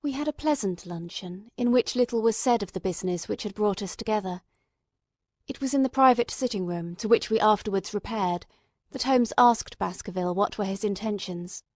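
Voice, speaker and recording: Female audiobook narrator: medium pitch, scripted classic storytelling, very dry recording. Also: moderate pace, a little mellow, dry recording.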